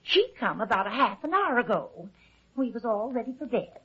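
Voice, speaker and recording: A husky, fussy farm wife. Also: female voice, a little high, sing-song, normal speed. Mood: flustered, scandalised. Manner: flustered, exclaiming, folksy. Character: fussy, scolding, easily scandalised country farmer's wife. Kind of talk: old-time radio drama acting. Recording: muffled lo-fi old radio recording.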